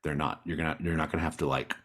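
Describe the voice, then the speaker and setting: A man with a low, husky voice leads a tabletop roleplaying game. He moves between reading rules, describing scenes and prompting players with an encouraging manner. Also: fairly even intonation, rapid-fire, fairly bright tone. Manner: encouraging, guiding players with questions. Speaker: game master. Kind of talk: narrating a tabletop roleplaying game, part read from rules and part conversational.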